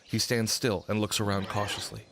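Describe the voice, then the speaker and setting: A man's voice: fairly low pitch, level tone, moderate pace, noisy background. A man narrating a radio drama from a script.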